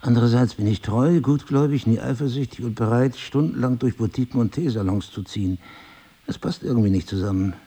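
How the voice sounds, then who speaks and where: Amnesiac husband, mellow dark tone, gravelly, questioning, wryly self-mocking, naturalistic drama. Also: male voice, medium pitch, fairly quick. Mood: wry, puzzled. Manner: probing, sardonic, questioning. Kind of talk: two-hander relationship drama acting, naturalistic.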